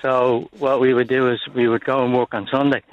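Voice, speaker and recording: An older man from Dublin with an Irish accent, calling in to a radio show and telling his story in a halting, plain-spoken way over a muffled phone line. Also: mid-range voice, little pitch movement, no echo. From Dublin, Ireland. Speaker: caller. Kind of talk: phone-in call.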